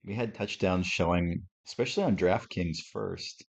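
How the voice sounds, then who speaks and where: Deep-voiced man with a radio sound. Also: normal speed, creaky. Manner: relaxed, thinking aloud, self-deprecating. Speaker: live stream host. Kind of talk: casual live game reaction commentary. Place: home with a TV on. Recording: slightly muffled lo-fi audio.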